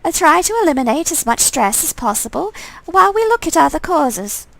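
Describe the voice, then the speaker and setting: A woman reads the part of a hesitant doctor in a story game, high-voiced and a little creaky. The delivery is clinical but unsure, stumbling through explanations, with a very dry sound. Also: very animated, fairly quick, no echo, heavily acted, poor audio. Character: hesitant, businesslike doctor examining a patient.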